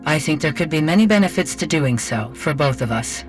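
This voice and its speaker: A man's voice: on the high side, expressive, a little fast, over music, voice pushed up, natural voice. A man voicing an earnest, literal-minded female artificial person who speaks in blunt, formal, methodical phrases. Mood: earnest, reasoning.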